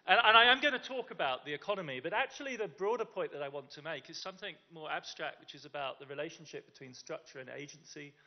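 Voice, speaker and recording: A man giving a conference talk in a chatty, self-deprecating way, with frequent restarts. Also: fairly high pitch. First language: English. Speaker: conference speaker. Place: conference in Germany. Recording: dull lo-fi sound.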